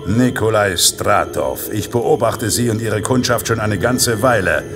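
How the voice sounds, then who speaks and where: An actor playing a vengeful vigilante killer in a Santa costume in a horror audio drama, speaking with deliberate, self-righteous menace. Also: male voice, on the low side, music in the background.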